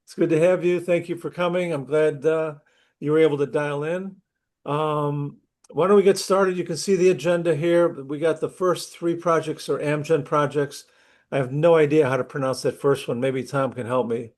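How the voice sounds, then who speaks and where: A middle-aged man, a university instructor, opening an online class session while losing his voice from a cold, talking conversationally and clearing his throat. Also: fairly high pitch, steady tone, normal speed, a little mellow. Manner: conversational, clears throat often, restarts sentences. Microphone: computer mic on a video call.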